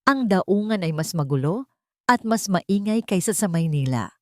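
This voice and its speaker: Female story narrator, lowish register, solemn and measured, scripted first-person drama, dry studio-like sound. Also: animated, dry recording.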